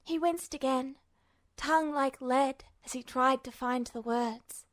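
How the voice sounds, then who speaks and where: High-voiced woman narrating slowly. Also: a bit bright. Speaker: amateur audiobook reader. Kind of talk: scripted fiction narration, podfic.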